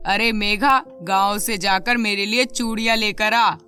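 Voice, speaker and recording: Cruel cartoon mother, acted by a woman: stern, threatening, domineering, sharp bright tone, music underneath. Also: mid-range voice, steady tone, unhurried. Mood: bossy. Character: harsh, scolding stepmotherly mother, domineering. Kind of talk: animated children's moral story.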